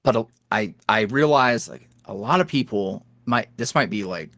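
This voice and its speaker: Animated young man, lively candid talk. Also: normal speed, no echo, studio quality. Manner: candid, animated, jumps up in pitch when quoting others. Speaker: guest, ASMR roleplay creator. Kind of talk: casual interview chat.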